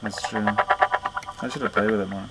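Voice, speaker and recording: A man co-hosting a podcast, cracking dry, deadpan jokes in casual banter. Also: on the low side, normal speed, very noisy. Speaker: podcast co-host. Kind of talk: casual banter recording outtakes.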